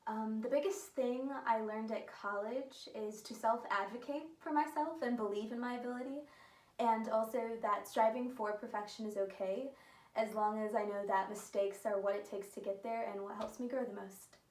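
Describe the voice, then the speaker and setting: A young woman in her early twenties introduces herself with earnest, measured care. Her voice sits a little high. She is heard from a distance, in a room with a noticeable echo. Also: steady tone, a little mellow. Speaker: theater student, project maker. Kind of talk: short self-introduction. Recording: roomy, mic at a distance.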